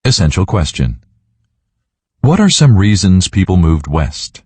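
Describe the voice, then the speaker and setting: A man narrating a children's story in a scripted, animated read-aloud style. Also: low voice, a little slow, husky, dry recording.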